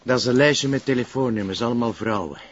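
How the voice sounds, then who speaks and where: An actor with a Flemish accent playing a measured, matter-of-fact police detective in an audio drama. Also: male voice, mid-range voice, dry recording, muffled recording, natural voice. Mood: sober, sceptical. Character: sober, sceptical male police detective sidekick.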